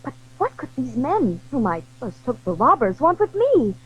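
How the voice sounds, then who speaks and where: A woman's voice: sits high, big pitch swings, moderate pace, fairly bright tone, breathy edge, full character voice, poor audio. A woman acting a charming, earnest young wife in a period audio drama, formal and pleading in manner. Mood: earnest, guarded. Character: charming young wife, about twenty-five, earnest and secretive.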